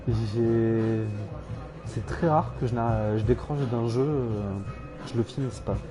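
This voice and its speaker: A man's voice: mid-range voice, slow pace, over music. A man streaming a game, rambling hesitantly with many fillers and self-corrections, with dry irony. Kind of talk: casual rambling game commentary.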